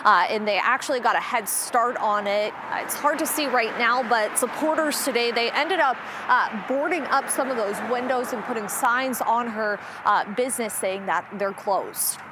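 A woman's voice: fairly high pitch, moderate pace, hardly any pauses. An American woman in her twenties delivering a news report as a TV reporter. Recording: faint background noise.

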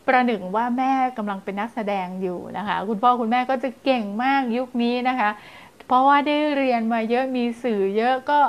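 A high-voiced woman in her thirties speaks to parents as a child development teacher, animated and expressive, breaking into playful story voices to demonstrate. She is close on a lapel mic in a small indoor studio. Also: a little fast, hardly any pauses, smooth. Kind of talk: parenting advice video. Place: small indoor studio in front of blue backdrop.

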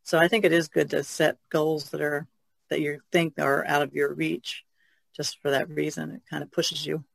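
Creaky, lowish-voiced woman on a podcast. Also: flat delivery, normal speed. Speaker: podcast co-host and painter. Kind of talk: casual podcast chat.

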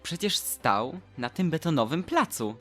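A man's voice: a little high, sing-song, a little slow, fairly bright tone, faint music behind. A man voicing a cheerful, curious and slightly timid statue boy in an audio drama, exclaiming in a naive way.

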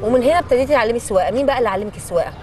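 A young woman with an Egyptian accent interviewing conversationally outdoors, prompting with quick follow-up questions. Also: on the high side, expressive, over loud music. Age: young adult. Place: city street, outdoors. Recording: clear outdoor street noise.

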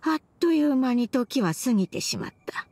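A woman's voice: high-pitched, lively intonation, moderate pace, darkish tone, slightly rough, no echo. An actress playing a remorseful old grandmother in a Japanese game, confessing her past in an archaic elderly way of speaking. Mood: wistful, tender. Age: older. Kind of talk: Japanese game voice acting, dramatic monologue.

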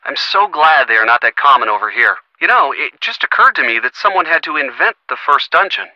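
An earnest young American boyfriend for a video game. His youthful voice sits a little high and is very bright, with a conversational, wry delivery. The sound is close and dry. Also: big pitch swings, a little fast, no room sound, lo-fi sound, heavily acted. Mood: casual, amused. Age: young adult. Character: earnest young boyfriend of a sleuth, gently sardonic. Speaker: voice actor. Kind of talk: video game phone-call dialogue.

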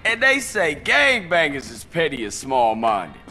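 An actor playing a brash, streetwise young gangster in a video game, with an American accent and a blunt, mocking, slangy delivery. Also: male voice, a little high, huge pitch swings, measured pace, pushed and bright, soft background music, heavily acted. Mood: incredulous, teasing.

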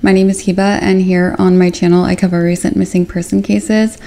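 A young woman hosting a missing-person channel, speaking to camera in an earnest, measured way from a small room at home. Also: on the low side, little pitch movement, vocal fry, warm tone. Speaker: YouTube true-crime host. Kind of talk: scripted talk to camera.

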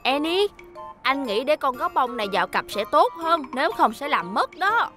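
A high-pitched, kindly cartoon school bus. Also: big pitch swings, normal speed, over music. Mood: friendly, instructing. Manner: coaxing, reassuring, talking to little children. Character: eager, kindly young talking school bus, male, big-brotherly. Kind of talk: animated children's cartoon dub.

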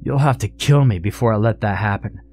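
A man voicing a desperate, grieving husband in a story, shouting defiantly and pleading. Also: lowish voice, brisk, dry recording, lightly acted. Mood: desperate defiance.

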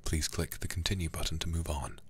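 Very deep-voiced man murmuring ASMR. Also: little pitch movement, a little fast, husky. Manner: intimate, hushed, often whispering. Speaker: livestream host playing a video game. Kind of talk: ASMR livestream, soft murmuring and whispering. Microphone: close ASMR microphone.

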